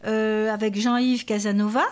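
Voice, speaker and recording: A woman presenting a radio segment, working through a list of writers in a measured way with frequent hesitations. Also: a little high, level tone, a little mellow. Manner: measured, listing names with hesitations.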